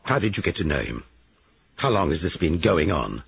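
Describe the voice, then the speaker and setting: A man's voice: deep voice, big pitch swings, moderate pace, vocal fry, a little hiss or hum, full character voice. A man voicing a jealous, angry husband in an audiobook story, curt, domineering and accusing. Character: Giles, jealous angry husband, gruff and commanding. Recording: narrow-band lo-fi radio-like sound.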